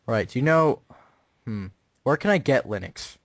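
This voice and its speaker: A man on a phone call as a customer, asking naive-sounding questions in a deadpan way. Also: medium pitch, normal speed, vocal fry, dry recording, studio quality. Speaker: prank caller posing as a customer.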